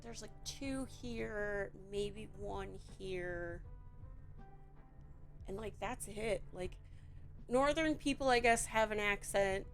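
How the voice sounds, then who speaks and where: Woman, Chicago accent, rambling stream chat, unhurried, faint music behind. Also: mid-range voice. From Midwestern United States. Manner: chatty, rambling, slow and drawn out, with frequent fillers. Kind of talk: casual chat while building a toy set.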